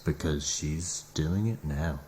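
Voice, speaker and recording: A man's voice: a bass voice, slow, background noise. An actor playing a devout, anxious male news cameraman in an audio drama, with an earnest, cautious manner. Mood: awestruck. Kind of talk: naturalistic audio drama acting.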